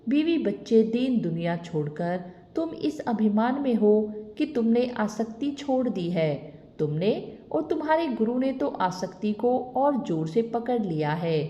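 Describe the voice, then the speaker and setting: A woman's voice: a little high, big pitch swings, measured pace, dark tone, lo-fi sound. A woman voicing a mysterious woman in a vision who reproaches and insists. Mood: reproachful. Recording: echoey reverberant room.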